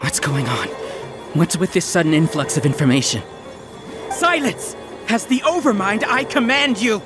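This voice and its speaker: A man's voice: sits high, moderate pace, lightly acted. An actor playing an arrogant male mastermind who rules a hive mind, in a grandiose, theatrical game-villain manner. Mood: panicked, furious. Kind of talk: video game villain acting. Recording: loud game music behind.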